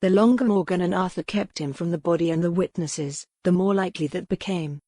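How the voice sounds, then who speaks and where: A woman narrating a novel in an even, measured storytelling manner, recorded close to a studio mic. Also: fairly low pitch, no echo. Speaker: audiobook narrator.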